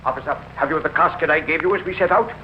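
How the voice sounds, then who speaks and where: Commanding, sardonic justice played by a man, high male voice, 1950s radio acting, noisy recording. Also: racing, highs cut off, lightly acted. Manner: imperious, formal, dry. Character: stern, commanding, sardonic justice making arrests.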